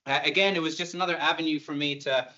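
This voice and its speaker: Irish man giving a relaxed lecture. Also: on the high side, quick pace, highs cut off. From Ireland. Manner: conversational, with light self-deprecating humor and frequent fillers. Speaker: university lecturer. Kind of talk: online presentation talk.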